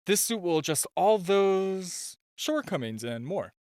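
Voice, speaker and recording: A man's voice: high-pitched, very animated, darkish tone, smooth, dry recording, studio quality. A man playing a super-shy spy gadget guy in a romantic comedy audio roleplay, nervous and stammering, easily flustered. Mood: businesslike, exasperated. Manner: nervous, stammering, halting, self-interrupting.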